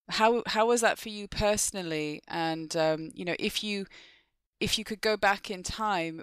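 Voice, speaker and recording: Smooth, clear woman interviewing. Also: fairly low pitch, fairly bright tone, no echo. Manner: friendly, chatty with fillers. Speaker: interviewer, host. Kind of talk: podcast interview.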